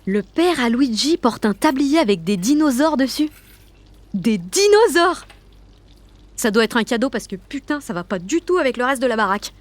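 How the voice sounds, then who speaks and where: A woman's voice: on the high side, big pitch swings, moderate pace, no echo. An actress playing a rebellious, emotional sixteen-year-old girl who narrates an audio drama in blunt, sarcastic slang. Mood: bemused. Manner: slangy, blunt teenage delivery. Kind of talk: first-person audio fiction narration.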